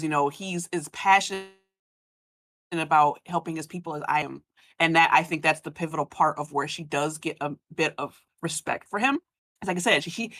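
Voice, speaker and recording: A woman in a casual panel discussion, speaking colloquially and acting out imagined remarks in an animated way. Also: fairly low pitch, no echo. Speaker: podcast live event panelist.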